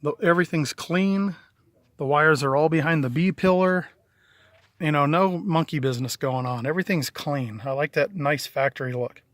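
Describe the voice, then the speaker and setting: A middle-aged man with a fairly high voice and a dry, close sound. He talks casually and matter-of-factly, like a plain-spoken hobbyist walking viewers through his own handiwork on camera. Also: moderate pace, fairly bright tone, dry recording. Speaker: DIY installer presenting own work. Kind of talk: casual video walkthrough narration. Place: in and around a parked vehicle.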